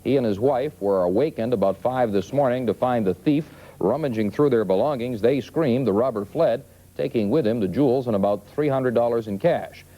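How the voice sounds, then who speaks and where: A man with an American accent anchors a news broadcast. His voice is mellow and relaxed, with an average male pitch. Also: normal speed, rough recording.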